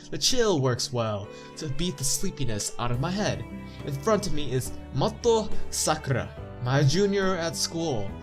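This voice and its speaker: A man with a stuffy nose reading visual novel narration aloud as a let's play narrator, adding casual commentary. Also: medium pitch. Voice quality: stuffy-nosed. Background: game music.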